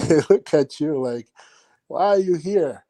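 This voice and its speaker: A man's voice: a little high, animated, slow pace, warm tone. A man from Honduras calling into a livestream, earnest and hesitant as he talks. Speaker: caller. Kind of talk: casual chat on a live call.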